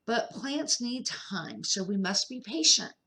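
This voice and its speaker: An older woman voicing a patient, encouraging woman schoolteacher in a storybook, with gentle, instructive delivery. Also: a little high, lively intonation, slow, voice pushed up, heavily acted. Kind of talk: children's storybook character reading.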